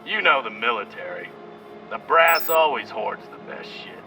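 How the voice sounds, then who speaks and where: Forceful, gruff spaceship captain. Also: male voice, medium pitch, very animated, moderate pace, recorded from a distance, soft background music, low-quality recording. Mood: brisk, cynical. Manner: gruff, profane, barking orders. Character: brusque, commanding male captain of a scavenger spaceship crew. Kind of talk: video game character acting.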